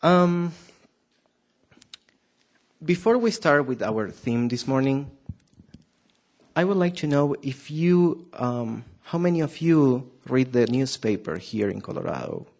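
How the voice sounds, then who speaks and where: A man with a thick Argentine Spanish accent delivers a Sabbath sermon at an unhurried pace. He halts often, restarts his sentences and fills gaps with "um". He keeps turning to the congregation with questions. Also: medium pitch, lively intonation, vocal fry, darkish tone. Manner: hesitant, many fillers and restarts, asks the audience questions. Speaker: preacher.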